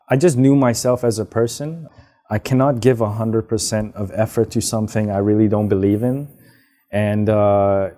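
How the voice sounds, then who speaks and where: A young man from Hong Kong, answering interview questions in a relaxed, rambling way with frequent fillers. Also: on the low side. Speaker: guest, business founder. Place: outdoors, karaoke nearby.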